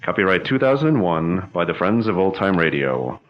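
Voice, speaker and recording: A man's voice: deep voice, big pitch swings, normal speed, very noisy. A man announcing a radio drama in a dramatic, declamatory old-time radio style. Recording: lo-fi recording with loud music behind.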